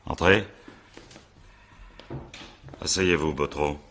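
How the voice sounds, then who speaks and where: An actor playing a brisk, impatient male magistrate in a French radio drama, with an authoritative, clipped and dryly ironic way of questioning. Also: extremely low pitch, steady tone, a bit bright, slight background noise, full character voice. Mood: businesslike.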